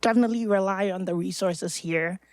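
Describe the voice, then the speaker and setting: A woman in her thirties from Ghana, a first-year medical student, introducing herself in a relaxed way. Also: mid-range voice, a little fast, no room sound, studio quality.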